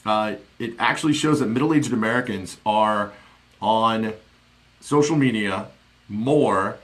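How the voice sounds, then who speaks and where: A man in his forties with a somewhat low voice talks to a live-stream audience in a loose, rambling way, leaning on fillers. He speaks into a studio mic on his desk in a small home office. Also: slow pace, fairly bright tone, poor audio. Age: 40s. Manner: rambling, chatty, many fillers. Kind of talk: casual live-stream monologue. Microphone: studio mic on desk with headphones.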